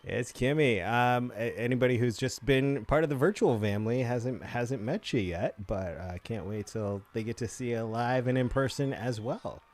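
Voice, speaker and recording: A man's voice: medium pitch, moderate pace, few pauses, darkish tone. A man co-hosting a virtual open mic night, introducing performers with upbeat introductions. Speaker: co-host.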